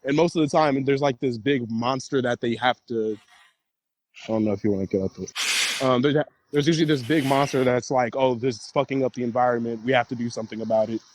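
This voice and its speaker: A man's voice: medium pitch, fairly quick, slight background noise. A man in a casual podcast chat, explaining earnestly, repeating himself and swearing casually. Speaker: podcast panelist.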